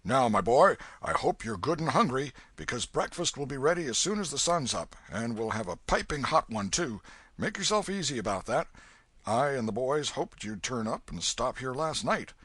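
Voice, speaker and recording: Casting: man playing a bluff, booming old farmer, kindly and commanding, lively in pitch. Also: normal speed, husky, no echo. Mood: hearty. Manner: loud, hearty and commanding.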